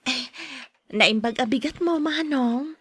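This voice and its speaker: A friendly young Ilocano village woman in a radio drama. Her voice is high and polite, recorded dry. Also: takes it slowly, a bit bright, breathy edge, no echo, heavily acted.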